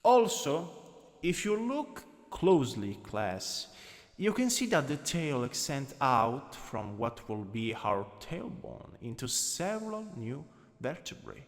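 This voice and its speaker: A man with an Italian accent voicing a teasing, lowkey dominant anatomy lecturer in an ASMR roleplay. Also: very animated, takes it slowly. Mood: instructive, playful. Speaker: ASMR voice actor. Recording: long reverberant hall echo.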